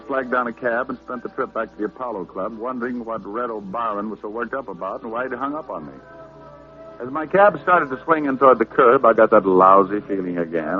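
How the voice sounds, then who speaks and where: A man's voice: on the low side, lightly acted. A man acting a wisecracking, unflappable American private detective in 1940s radio style, with a wry, breezy delivery. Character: wisecracking, unflappable male private detective who narrates his case. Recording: tinny vintage radio recording.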